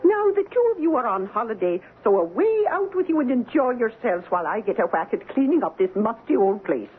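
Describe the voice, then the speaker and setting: Lively Scottish housekeeper, blunt and bustling. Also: female voice, fairly high pitch, brisk, husky. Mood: cheery, bossy. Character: brisk, no-nonsense Scottish village housekeeper woman. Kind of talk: 1970s radio drama acting. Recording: narrowband old radio broadcast, faint noise.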